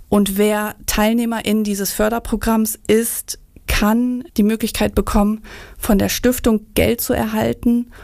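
Woman, advisor, explanatory, informative, gravelly fry, dry sound. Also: mid-range voice, a little mellow, dry recording. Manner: clear, informative, detailed. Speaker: migration and study advisor. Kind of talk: explanatory interview answers.

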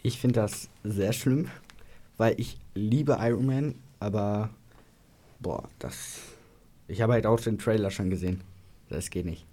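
A man's voice: on the low side, a little slow, warm tone, breathy edge. A teenage boy, a student guest, speaking casually and emphatically.

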